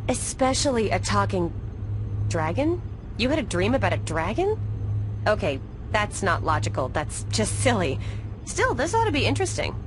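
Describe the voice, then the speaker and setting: A woman's voice: mid-range voice, very animated, moderate pace, background noise, natural voice. An actress playing a sassy, teasing young female student in a video game. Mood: mocking amusement. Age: young adult.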